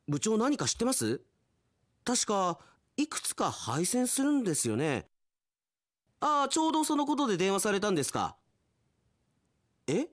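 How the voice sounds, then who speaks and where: A man acts out an earnest young country station worker for a radio drama. He is sentimental and openly expressive, with a highish voice that swings widely in pitch. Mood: polite, then shocked, pleading. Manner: expressive, exclamatory, theatrical.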